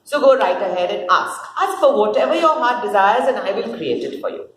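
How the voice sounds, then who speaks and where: A woman's voice: on the high side, big pitch swings, a little fast. A woman voicing God as a grand female creator in a play reading, regal, indulgent and impatient, with grandly declarative delivery. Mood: pleased, gracious. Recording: roomy echo with faint noise.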